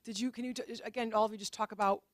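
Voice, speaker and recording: A woman's voice: on the high side, fairly even intonation, a little hiss or hum. A woman in an audience asking casual questions off the cuff, often interrupting and restarting herself. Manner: halting, self-interrupting.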